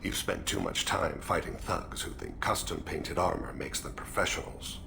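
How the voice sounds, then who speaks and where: A man playing a solemn, courteous alien assassin in a video game, with a gravelly voice and a measured, formal, pensive manner. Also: noisy background. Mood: calm, earnest. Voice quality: very deep, gravelly. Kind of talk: video game character acting.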